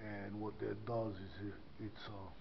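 A man's voice: on the low side, little pitch movement, unhurried, vocal fry. Older man, casual rambling walkthrough, body-cam, muffled audio with faint noise. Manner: rambling, many fillers and restarts. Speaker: church custodian vlogger. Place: inside a small church building. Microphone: body-worn action camera.

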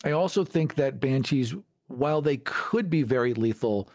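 A man speaks as a podcast co-host in an informal gaming discussion. His voice sits at a middle pitch, and the recording is dry with no room sound. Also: animated, moderate pace, a little mellow, dry recording. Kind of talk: casual tabletop gaming discussion.

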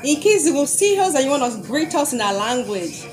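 A woman's voice: sits high, big pitch swings, fairly quick, music in the background. A woman in her thirties from Nigeria with a Nigerian accent, presenting cheerful facts about her country to children over a webcam at home. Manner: upbeat, leads with prompts. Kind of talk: explaining to children. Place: home room with photos on wall. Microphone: video call webcam.

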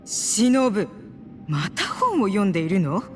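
A woman's voice: mid-range voice, big pitch swings, slow pace. An actress playing a strict mother who scolds and commands her daughter. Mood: stern. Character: strict middle-aged mother, stern. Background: game music.

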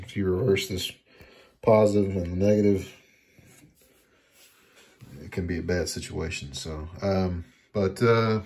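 A man giving a casual hands-on repair how-to, talking haltingly, repeating words and trailing off. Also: sits low, a little mellow. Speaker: hobbyist demonstrating electronics repair. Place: indoors at a workbench.

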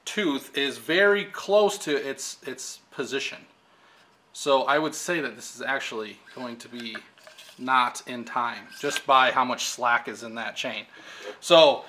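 A man in his thirties talks through a repair as he works, loose and chatty with plenty of fillers. His voice is bright and forward. A faint hum of shop noise sits underneath. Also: medium pitch. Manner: casual, explanatory, frequent fillers while working. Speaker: engine shop technician. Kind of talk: hands-on how-to demonstration. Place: engine workshop with pegboard wall, indoors.

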